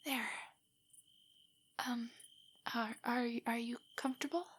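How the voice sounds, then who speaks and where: A woman playing a shy, nerdy young girlfriend in an intimate audio roleplay, soft and breathy, stammering with nerves. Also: on the high side, steady tone, slow pace. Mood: nervous, shy. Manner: nervous, stammering, hesitant rambling. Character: shy, nerdy, easily flustered young adult girlfriend on a date.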